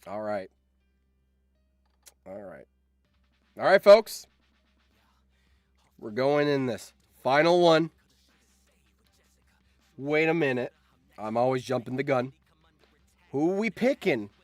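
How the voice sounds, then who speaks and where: A man's voice: mid-range voice, animated, takes it slowly, pauses often, no room sound, clean studio sound, soft background music. A man hosting a livestream, chatting casually with viewers and thinking aloud, often repeating his phrases. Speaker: livestream host.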